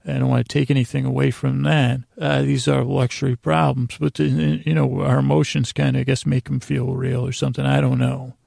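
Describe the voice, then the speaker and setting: A man hosting a podcast with a meandering, halting, self-deprecating personal monologue that trails off mid-sentence, in a gravelly voice. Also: medium pitch, level tone, darkish tone, no echo, clean studio sound. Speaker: podcast host. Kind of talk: rambling personal storytelling monologue.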